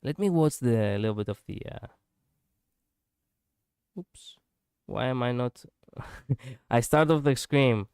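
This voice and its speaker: A man's voice: medium pitch, slow, creaky, long pauses, a little mellow, smooth, dry recording, clean studio sound. A male streamer chatting casually and reflectively, poking fun at his own slip-ups. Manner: musing, self-deprecating, laughs at own slips. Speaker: VTuber streamer.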